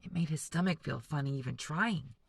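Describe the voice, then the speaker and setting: Low, gravelly-voiced woman teacher reading aloud. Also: brisk, no room sound. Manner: patient, explanatory, addresses students directly. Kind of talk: classroom read-aloud with discussion breaks.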